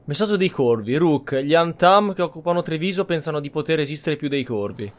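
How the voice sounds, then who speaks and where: A man's voice: a little high, expressive, fast. A man giving casual gameplay commentary, musing aloud in an informal way. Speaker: gaming channel host. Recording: faint game music behind.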